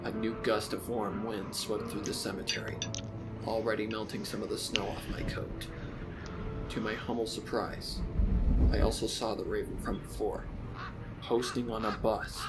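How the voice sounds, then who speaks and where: A man's voice: lowish voice, flat delivery, normal speed, over loud music, lightly acted, poor audio. A man voicing a sorrowful, reflective pony widower in a solemn, theatrical fan fiction reading. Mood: wistful acceptance. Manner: solemn, theatrical, anguished delivery. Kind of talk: dramatic fan fiction narration over music.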